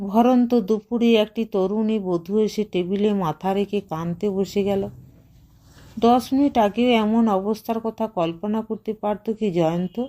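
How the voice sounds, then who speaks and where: A woman's voice: mid-range voice, a little slow, mellow. A woman reading a literary short story aloud as scripted narration. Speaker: audio story reader.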